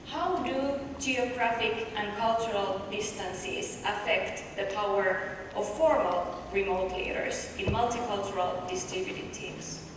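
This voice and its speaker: Finnish-accented woman presenting in echoey hall. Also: fairly high pitch. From Finland. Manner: measured, explanatory, poses rhetorical questions. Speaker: conference presenter. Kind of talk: academic paper presentation. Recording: echoey distant hall recording with noise.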